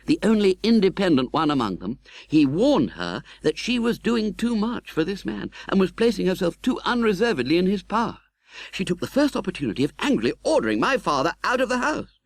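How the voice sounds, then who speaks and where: A man playing a genteel, candid, cheerfully optimistic young gentleman in an audiobook, chatty and slightly hesitant. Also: a little high, no room sound, pitched above the performer's own voice. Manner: animated, chatty, hesitating with little 'uh's. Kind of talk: audiobook character acting.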